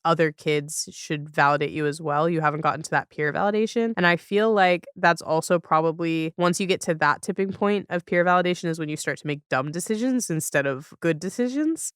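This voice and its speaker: Clear-voiced woman hosting a casual chat. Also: low-pitched, a bit bright, dry recording, clean studio sound. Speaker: podcast host. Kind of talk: casual conversational interview.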